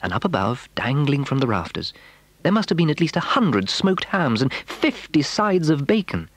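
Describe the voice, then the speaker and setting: A man's voice: a little high, sing-song, moderate pace, dry recording. A man narrating a children's story in a dramatic storytelling manner.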